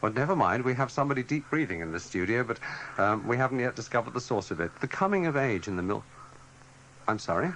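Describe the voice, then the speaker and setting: Animated British male radio host, noisy recording. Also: mid-range voice, fast talker. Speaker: radio news programme host. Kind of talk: live scripted presenting. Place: radio studio. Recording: noisy archive live radio broadcast.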